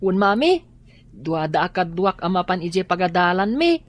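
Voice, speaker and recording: Polite young schoolboy. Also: female voice, fairly low pitch, big pitch swings, normal speed. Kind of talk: Ilocano family drama acting.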